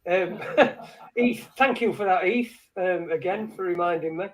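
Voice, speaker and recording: A man's voice: high-pitched, measured pace, rough recording. A man hosting a live online show, rambling and swearing freely in casual banter. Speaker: show host.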